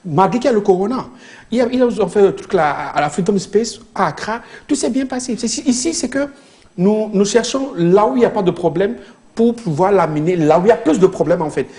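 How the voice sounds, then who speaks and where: Animated male guest on a TV panel. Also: high-pitched, fairly quick. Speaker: talk show guest. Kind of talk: studio panel discussion.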